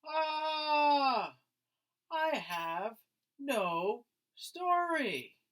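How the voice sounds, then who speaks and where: A man's voice: extremely high pitch, sing-song, drawn-out words, fairly bright tone, voice pushed up, full character voice. A man voicing a frustrated schoolboy stuck on his writing, plaintive and dramatic, in a picture book. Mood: exasperated. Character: frustrated schoolboy with writer's block.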